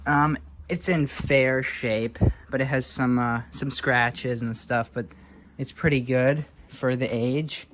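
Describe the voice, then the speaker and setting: A man's voice: mid-range voice, steady tone, takes it slowly, fairly bright tone, a little hiss or hum. A man giving a casual, unscripted show-and-tell as a hobbyist presenter, thinking aloud in an offhand, hesitant way. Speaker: hobbyist presenter showing vintage electronics.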